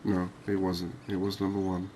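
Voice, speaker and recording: A soft-spoken man giving unhurried, soothing ASMR commentary over a video game. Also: low voice, monotone, noisy background. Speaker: gaming video host.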